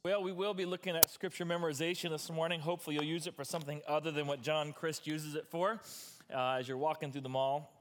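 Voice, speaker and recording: A hoarse, middle-aged pastor preaches in a loose, chatty way, wandering into side stories. His voice is fairly high for a man, and the strain is plain in it. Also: fairly even intonation, quick pace, over loud music. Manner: casual, rambling asides. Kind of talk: sermon.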